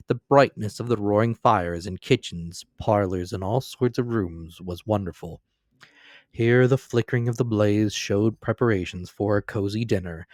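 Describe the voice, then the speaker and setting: A man giving a dramatic, measured reading of a classic novel. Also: fairly low pitch, no echo, studio quality. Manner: measured, theatrical storytelling. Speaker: reader.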